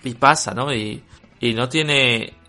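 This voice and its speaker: A man from Spain with a Spanish accent, giving a casual book review in a halting, filler-heavy way and bluntly criticizing what he read. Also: medium pitch, sharp tone, soft background music.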